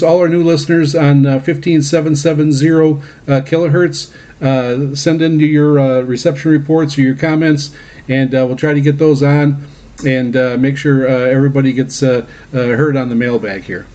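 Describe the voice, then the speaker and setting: A man hosting a radio program in a casual mailbag style, speaking haltingly with very frequent hesitations. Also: on the high side, fairly even intonation, warm tone. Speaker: radio show host.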